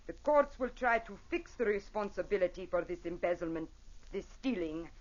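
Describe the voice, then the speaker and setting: A woman's voice: medium pitch, expressive, moderate pace, darkish tone, close to an everyday voice. An actress plays an elegant, impassioned madame with an Italian accent. Her phrasing is gracious and formal, in the theatrical manner of old radio plays. The recording is muffled, as from an old broadcast. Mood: earnest, pressing. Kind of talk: old-time radio drama acting.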